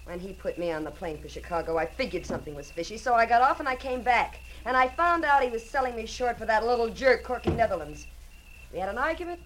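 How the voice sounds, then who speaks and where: An actress playing a tough, taunting young murderess in 1940s radio crime drama style, hard and brazen. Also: female voice, fairly high pitch, animated, fast, a bit bright, poor audio. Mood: brazen, confessing. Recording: old radio recording, faint noise.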